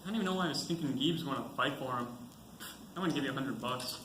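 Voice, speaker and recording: A man's voice: medium pitch, fast, lightly acted. A man playing an informal, griping young army soldier in an amateur stage play. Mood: joking, griping. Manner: informal, grumbling. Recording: echoey stage with clear background noise.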